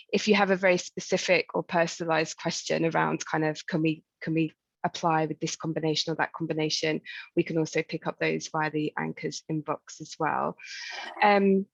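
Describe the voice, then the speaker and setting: A woman's voice: fairly low pitch, creaky, no echo. A woman explaining things as a programme staffer on an online information call, informal but professional, with muffled call audio. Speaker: grant programme staff member answering questions.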